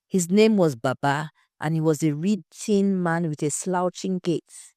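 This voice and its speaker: A woman's voice: fairly low pitch, a little slow, warm tone, no room sound, clean studio sound. A woman narrating a scripted story.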